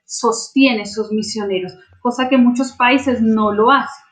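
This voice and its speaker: High-voiced woman pastor hosting. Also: steady tone, unhurried. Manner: fervent, repetitive prayer cadence. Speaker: pastor and program host. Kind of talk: prayer and program hosting.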